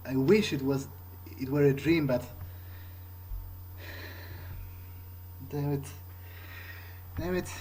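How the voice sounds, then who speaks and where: Halting, slow-talking rueful young vampire played by a man. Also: fairly high pitch, darkish tone, a little hiss or hum, lightly acted, low-quality recording. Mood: dazed, musing. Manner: halting, rambling, musing with dry sarcasm. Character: rueful, bewildered newly turned young male vampire. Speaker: gaming role-player. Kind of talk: in-character improvised game monologue, thinking aloud.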